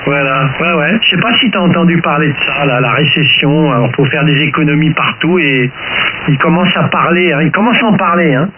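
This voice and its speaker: Hissy high-pitched man on ham radio. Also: a little fast. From France. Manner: repeats phrases, tags sentences with "hein". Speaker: amateur radio operator leading the exchange. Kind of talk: casual ham radio chat. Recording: noisy, hissy phone-like amateur radio link. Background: clear radio hiss.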